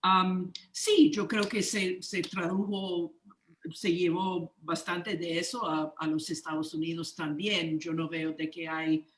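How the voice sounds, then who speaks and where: A woman's voice: fairly low pitch, little pitch movement, slow pace, roomy sound, slight background noise, poor audio. A woman on a discussion panel, speaking hesitantly with frequent fillers.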